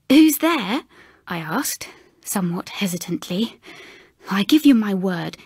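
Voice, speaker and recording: Hushed English woman narrating a ghost story. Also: mid-range voice, husky, no room sound, clean studio sound. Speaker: audiobook narrator.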